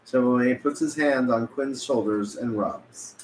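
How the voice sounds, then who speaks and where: A man's voice: medium pitch, a little slow. A man reading stage directions at a script table read, occasionally stumbling and correcting himself. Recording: echoey room with background noise.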